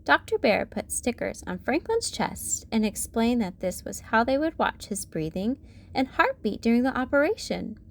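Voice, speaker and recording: A woman's voice: on the high side, very animated, moderate pace, creaky. A woman hosting a read-aloud, narrating a children's storybook expressively. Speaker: storybook reader and channel host.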